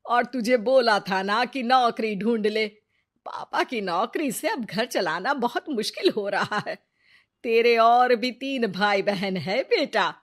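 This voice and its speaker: Woman acting a slow, nagging mother, fairly high-voiced. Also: animated. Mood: worried. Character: worried, scheming mother of a poor household, turning spiteful grandmother. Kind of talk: Hindi cartoon moral-story dubbing.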